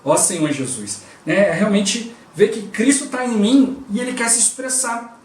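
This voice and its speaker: A man's voice: high voice, animated, moderate pace. A man with a Brazilian Portuguese accent giving a devotional sermon, talking conversationally and often breaking in with short invocations of the Lord. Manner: conversational, constantly interjects "O Lord Jesus" and "né". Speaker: preacher. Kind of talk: devotional preaching. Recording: roomy and distant from the mic.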